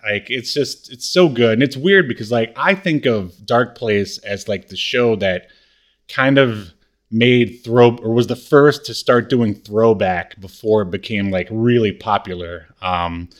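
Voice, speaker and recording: Halting low-voiced man chatting casually. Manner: halting, thinking aloud. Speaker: podcast co-host. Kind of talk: casual chat about obscure media.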